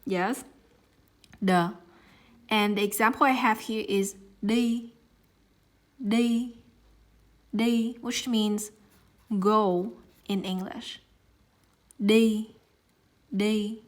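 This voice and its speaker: Young woman, Southern Vietnamese accent, native Vietnamese, patient teacher who speaks very slowly and repeats sounds. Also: mid-range voice, fairly even intonation. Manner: repeats each sound several times, gentle and careful. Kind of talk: slow, patient pronunciation lesson.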